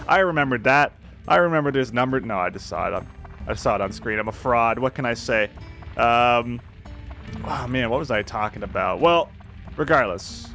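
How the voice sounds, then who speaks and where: A man's voice: medium pitch, a bit bright. A man giving casual, rambling, self-deprecating commentary while playing a video game. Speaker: gaming video host. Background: music.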